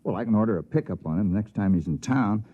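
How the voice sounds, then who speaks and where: A man's voice: fairly low pitch, lively intonation, fast. An American man acting an easygoing, dismissive male office official in a 1950s radio drama, folksy and offhand. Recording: tinny, narrow-band 1950s radio recording.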